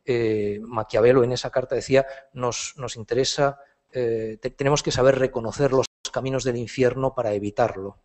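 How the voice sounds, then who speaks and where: A man in his fifties from Spain, a philosophy professor answering audience questions after a lecture, thoughtful and halting. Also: mid-range voice, steady tone, a little mellow. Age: 50s. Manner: thoughtful, halting, hedged phrasing.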